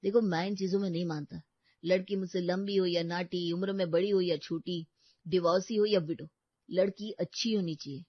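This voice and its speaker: A woman's voice: lowish voice, steady tone, moderate pace, dry recording, close to an everyday voice. An easygoing young bachelor in a cartoon story who jokes freely and speaks kindly of others, voiced by a woman narrator. The recording is dull and lo-fi, dry and close. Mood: friendly. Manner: joking, easygoing. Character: cheerful, joking young bachelor brother.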